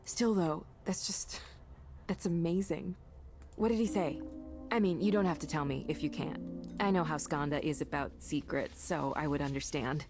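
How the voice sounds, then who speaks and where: A young woman in a narrative game, with a lowish voice. She is chatty, curious and quick to put herself down, sounding natural and conversational. Music plays faintly behind her. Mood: impressed, teasing. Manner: conversational, animated, self-effacing. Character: self-deprecating, chatty, curious young woman with artistic leanings. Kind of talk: naturalistic visual novel acting.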